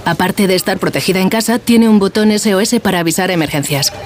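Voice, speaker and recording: Actress as a brisk, concerned advert friend. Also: female voice, mid-range voice, noisy background, natural voice. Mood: concerned, reassuring. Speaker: advert actor. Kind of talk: acted radio advert dialogue.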